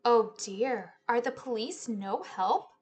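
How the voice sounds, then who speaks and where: A woman's voice: on the high side, animated, slow. A woman voicing a caring but firm mother in a children's storybook. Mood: concerned.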